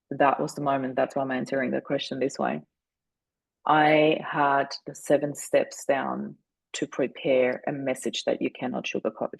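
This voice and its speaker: Woman in her forties, German speaker, podcast guest; gravelly, even-toned delivery, earnest and measured; dry close-mic sound. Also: very deep voice, dry recording, rough recording. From Austria. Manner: earnest and deliberate, slowing down on key moments. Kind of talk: reflective storytelling.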